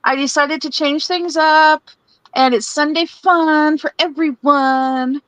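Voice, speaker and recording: A woman's voice: sits high, steady tone, slow, fairly bright tone, dry recording. A woman hosting a casual live stream while crafting, chatty and giggly, laughing between her rambling phrases. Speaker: livestream host.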